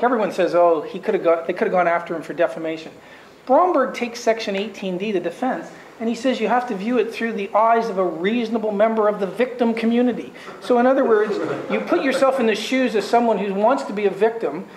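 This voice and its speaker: A man's voice: high voice, a little mellow. A man on a conference law panel, talking bluntly and emphatically and firing off rhetorical questions. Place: conference room. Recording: roomy echo.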